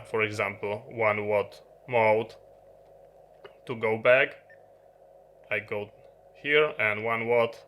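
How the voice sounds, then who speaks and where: Man, non-native English speaker, very slow halting delivery, bright forceful tone, product demo. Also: lowish voice, a little hiss or hum. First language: not English. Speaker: host of an electronics review channel. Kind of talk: unscripted hands-on product demonstration. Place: indoor electronics workbench.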